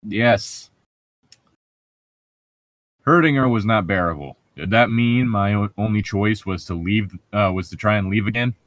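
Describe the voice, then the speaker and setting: A man's voice: lowish voice, measured pace, pauses often, fairly bright tone, no room sound. A man co-hosting a read-along show, switching between reading passages aloud and casual, sarcastic, sweary commentary. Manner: sarcastic, profane.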